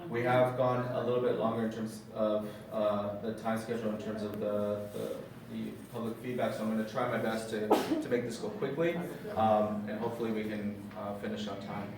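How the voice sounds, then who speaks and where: A man facilitating a city council meeting, talking haltingly with many fillers. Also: on the low side, fairly even intonation, background noise. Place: large council chamber. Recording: distant, very echoey hall with crowd.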